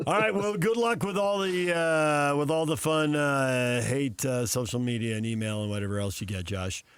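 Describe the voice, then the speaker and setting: A man's voice: mid-range voice, fairly even intonation, moderate pace, clean tone, no echo. A man with an American accent hosting a live sports talk radio show.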